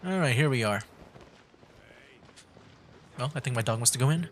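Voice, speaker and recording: A man's voice: medium pitch, animated, moderate pace, noisy background. A man giving casual live game commentary as a streamer, rambling as he thinks aloud.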